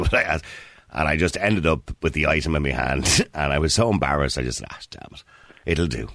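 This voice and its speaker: Fast-talking deep-voiced Irish radio host. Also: male voice, a bit bright, dry recording, clean studio sound. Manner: conversational, chatty, emphatic. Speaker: radio talk show host. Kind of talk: talk radio phone-in. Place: radio studio.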